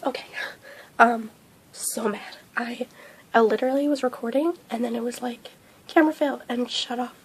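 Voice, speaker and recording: A young woman vlogging a breakfast mukbang, hoarse and losing her voice from a cold, rambling with lots of fillers. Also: high-pitched, a little mellow, a little hiss or hum, low-quality recording. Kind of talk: casual mukbang vlog chat. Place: indoors at home under a hot light.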